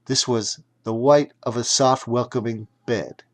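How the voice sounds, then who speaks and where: A man reads a children's story with dramatic emphasis. His pitch is average and his delivery very slow, broken into deliberate phrases. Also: fairly even intonation. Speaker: audiobook narrator.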